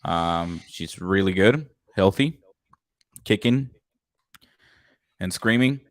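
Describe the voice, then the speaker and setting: A young man hosting a casual podcast, upbeat and loud, swearing freely. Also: sits low, expressive, takes it slowly, no echo, clean studio sound. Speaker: podcast host. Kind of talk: casual chat.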